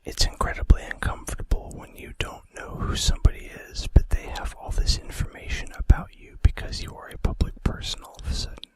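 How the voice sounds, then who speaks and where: A man in a soft, breathy, unvoiced whisper, reading out facts in an unhurried, casual ASMR style, close to the microphone. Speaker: ASMR video host.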